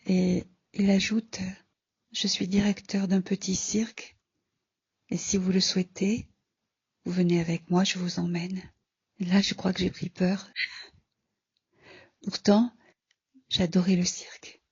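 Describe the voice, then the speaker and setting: A very husky woman reads a personal story aloud, slowly and with little rise or fall. Her delivery is subdued and measured, on a dry, dull home recording. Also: fairly low pitch, little pitch movement, a little mellow, dry recording. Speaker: contributor reading a personal story.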